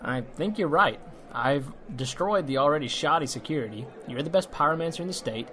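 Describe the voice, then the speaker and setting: Man playing a deadpan, skeptical sidekick. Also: a little high, a little fast, slight background noise. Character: deadpan male skeptical sidekick at a magic convention. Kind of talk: comedic fantasy audio drama.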